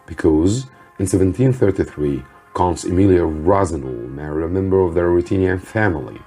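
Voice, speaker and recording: A man, an Arabic speaker, reading a story to students as a teacher, with deliberate theatrical emphasis. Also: very deep voice, warm tone. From Egypt. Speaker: English teacher.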